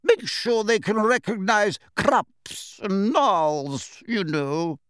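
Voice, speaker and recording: A man voicing a brisk, hearty middle-aged witch teacher with a plummy upper-class manner. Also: sits high, animated, a bit bright, clear, resonant tone, no echo, clean studio sound, pitched above the performer's own voice, heavily acted. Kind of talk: audiobook character voice.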